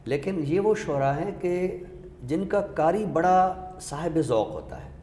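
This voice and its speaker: A man with a Pakistani accent, giving a literary talk with recited verse in a measured, explanatory way with pauses. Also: a little high, animated, mellow. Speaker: host of an Urdu literature show. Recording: echoey reverberant room, faint noise.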